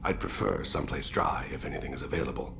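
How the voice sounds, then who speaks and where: Dying alien assassin, video game cutscene, rock-bottom pitch, thick rasping fry, courteous, philosophical, measured. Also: male voice, over music. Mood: calm, courteous. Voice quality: gravelly. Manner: formal, measured, solemn.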